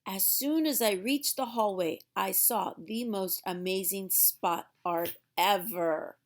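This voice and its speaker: A woman's voice: mid-range voice, slow. A woman reading a storybook aloud for young children in a theatrical way, drawing out words for emphasis.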